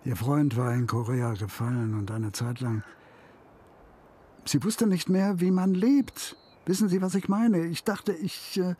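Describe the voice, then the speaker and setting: An actor playing a troubled, grieving middle-aged father in a radio crime drama, halting and insistent as he searches for words. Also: fairly high pitch, a little mellow, slightly rough.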